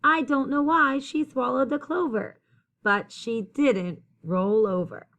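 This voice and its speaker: A woman teacher reading a picture book aloud to young children in an expressive, sing-song, encouraging way. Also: high voice, slow pace. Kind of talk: children's story read-aloud, addressing young listeners.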